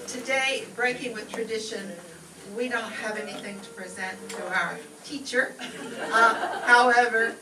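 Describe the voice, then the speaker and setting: A woman's voice: a little high, steady tone, unhurried. A woman acting as master of ceremonies, presenting and reading scripted lines live to an audience in an indoor hall. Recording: distant mic in echoey room, crowd noise. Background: audience crowd and room noise.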